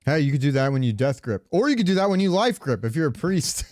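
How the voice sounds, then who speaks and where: A man's voice: on the high side, sing-song, fast talker, darkish tone. A man co-hosting a gaming podcast in casual, informal chat, swearing freely and laughing easily. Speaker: podcast co-host. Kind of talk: casual chat about video games.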